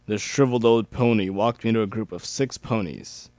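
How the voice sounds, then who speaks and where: A man's voice: on the low side, normal speed, darkish tone, no room sound. A young man giving an amateur dramatic reading of a first-person story.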